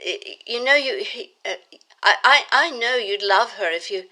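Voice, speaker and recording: A woman's voice: a little high, animated, moderate pace, bright, forceful tone. A woman playing an earnest, naive young activist granddaughter in an audio drama, stammering and hesitating in her eagerness. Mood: defensive, flustered.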